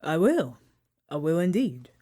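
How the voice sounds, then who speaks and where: A woman's voice: low voice, sing-song, a little slow, warm tone, heavily acted. A woman playing a kindly, flustered charity collector in an amateur radio drama, startled and effusive. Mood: astonished.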